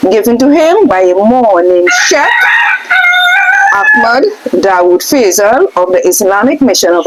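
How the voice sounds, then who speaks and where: Female reader, mid-range voice with very varied intonation, occasionally restarts a phrase, slight hiss on the recording. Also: medium pitch. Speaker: reader in a question-and-answer scroll reading. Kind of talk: reading aloud from a book. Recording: faint hiss in background.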